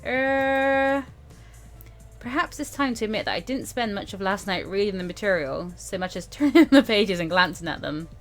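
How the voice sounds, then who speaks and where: A woman's voice: mid-range voice, lively intonation, moderate pace, lightly acted. A woman voicing a young female student in a visual novel, flippant, easily bored and flustered, with a hesitant, whiny, rambling manner. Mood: sheepish. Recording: music behind the voice.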